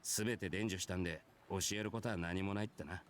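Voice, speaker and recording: An actor playing a brash, easygoing male warrior in a visual novel, telling his past in rough, casual, nonchalant speech. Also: sits low, fairly even intonation, rapid-fire, slight background noise, lightly acted. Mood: nonchalant, wistful. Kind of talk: Japanese visual novel voice acting.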